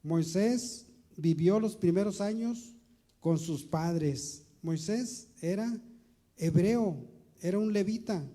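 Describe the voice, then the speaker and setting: Male pastor, high-pitched yet dark and mellow, preaching slowly and emphatically with repeated words. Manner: preaching with slow, emphatic stretches and rising emphasis; repeats words while searching. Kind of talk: sermon.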